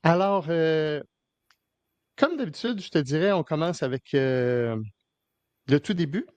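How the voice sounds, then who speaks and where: A man's voice: a little high, lively intonation, unhurried, darkish tone, dry recording. A man hosting a podcast interview in casual Québécois French, hesitant, with many fillers and restarts. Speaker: podcast host.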